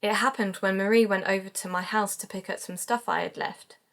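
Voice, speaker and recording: A woman's voice: medium pitch, steady tone, brisk, a bit bright, natural voice. A woman playing a young amateur-detective podcaster in an audio drama, speaking in a natural conversational way. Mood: serious.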